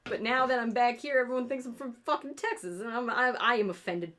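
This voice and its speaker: A woman's voice: a little high, fairly quick, a bit bright. A woman from rural New York with her own regional New York accent, chatting loosely while she draws on a livestream and swearing often. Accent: upstate New York. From rural New York State. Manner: rambling, swears a lot, laughs at own jokes. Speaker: streamer and artist. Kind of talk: casual livestream chat while drawing.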